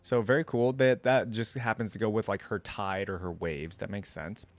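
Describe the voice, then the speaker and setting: A man hosting a reaction video, talking casually as he thinks out loud and reads text from the screen. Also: lowish voice, steady tone, moderate pace, dry recording.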